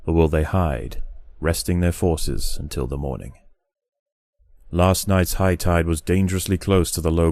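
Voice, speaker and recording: A man narrating a game in a scripted nature-documentary style, measured, grave and dramatic. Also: low voice, warm tone, dry recording.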